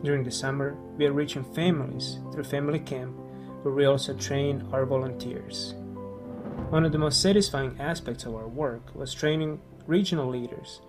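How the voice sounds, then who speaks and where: Croatian-accented male missionary, late 40s, scripted voice-over, mid pitch, clear music bed. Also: fairly even intonation, moderate pace. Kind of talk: scripted video narration.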